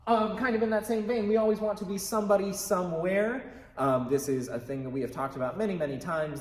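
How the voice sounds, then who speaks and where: High-voiced male improv teacher, echoey room. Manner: informal, emphatic, repeats key phrases for stress. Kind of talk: explanatory lesson with quick acted examples.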